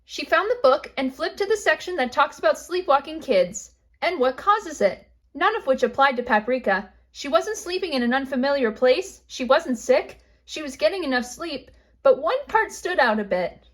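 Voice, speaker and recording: A woman with a high, light voice narrates a children's story. She reads it out as a simple storybook bedtime tale. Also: expressive, moderate pace.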